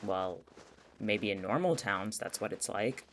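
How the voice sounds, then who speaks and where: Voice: low voice, a little slow, fairly bright tone, a little hiss or hum. An actor playing a cynical, blunt, sweary young college student in a naturalistic audio drama. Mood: complaining, blunt. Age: young adult. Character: cynical, sardonic young community college student in a strange town.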